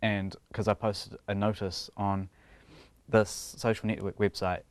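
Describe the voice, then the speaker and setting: A man's voice: lowish voice, level tone, measured pace, no echo. A young man with a New Zealand accent, chatting casually in a TV interview and laughing often between his thoughts.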